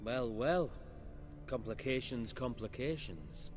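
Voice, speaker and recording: A man's voice: mid-range voice, animated, takes it slowly, music in the background. A man playing a fussy, muttering safecracker in a video game cutscene, speaking in precise, repetitive fragments. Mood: uneasy. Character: fussy, muttering male safecracker, devoted to locks.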